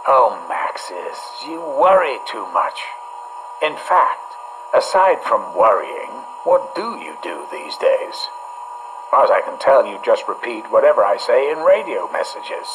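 A man's voice: mid-range voice, very animated, a little slow. A man voicing a smug, theatrical, all-powerful figure in a video game, teasing and condescending as he talks. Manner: mocking, playful, condescending. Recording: noisy game radio message.

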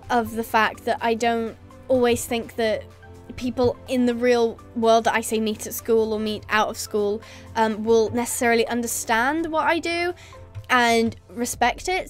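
A young woman from England talks casually to her camera, laughing often and filling pauses with ums. Her voice sits high, with faint music playing softly behind her. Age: young adult. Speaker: YouTuber. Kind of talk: casual vlog reading viewer comments. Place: indoors in front of a green screen. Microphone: facing camera at close range.

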